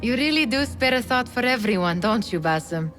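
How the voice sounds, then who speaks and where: A woman's voice: fairly high pitch, lively intonation, fairly quick, close to an everyday voice. An actress playing a teasing, determined young woman in dramatic video game dialogue. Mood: fond. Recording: music behind.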